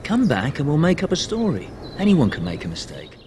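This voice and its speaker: An actor playing a smug, persuasive assassin in a fantasy video game, speaking theatrically. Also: male voice, fairly high pitch, wildly swooping pitch, brisk, darkish tone, slight background noise. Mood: coaxing. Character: smug male assassin offering an old friend mercy.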